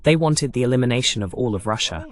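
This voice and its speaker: A man's voice: mid-range voice, lively intonation, fast. A man hosting a live political broadcast, arguing emphatically with rhetorical questions and repetition. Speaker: political show host.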